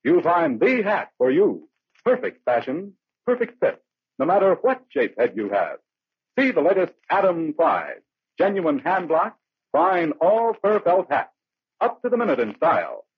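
Man, old-time radio announcer, lively pitch swings, polished sales pitch, tinny phone-like sound. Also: measured pace, husky, no room sound. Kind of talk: 1940s radio announcing and advertising.

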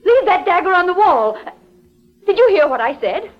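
An actress playing a haughty society woman in a deliberate, dramatic 1940s radio style. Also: very high-pitched, sing-song. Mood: alarmed, indignant. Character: beautiful wealthy society woman, haughty, then terrified. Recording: dull vintage radio recording, music behind.

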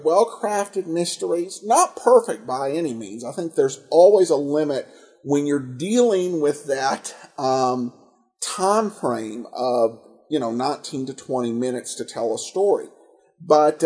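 A man hosting a podcast, giving casual commentary in a halting way, often searching for words and correcting himself. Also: mid-range voice, warm tone. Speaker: podcast host. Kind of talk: casual commentary on old radio detective shows.

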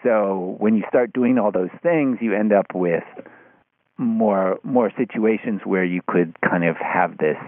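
A man's voice: on the low side, unhurried, no echo. A man in a casual, analytical discussion, speaking in hesitant, circling, heavily hedged phrases. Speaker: podcast discussion participant. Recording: muffled phone-like line.

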